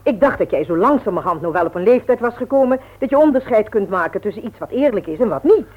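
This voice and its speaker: A woman's voice: mid-range voice, lively intonation, fast talker, husky. A middle-aged woman playing a strict, principled mother in a radio play, firm and admonishing. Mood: stern. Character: strict, principled, worried mother of grown children. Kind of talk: vintage Dutch radio play acting. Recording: old narrow-band radio recording.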